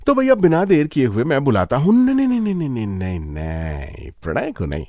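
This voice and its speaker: Animated male radio host. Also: medium pitch, a little fast, no echo, clean studio sound. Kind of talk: radio magazine presenting.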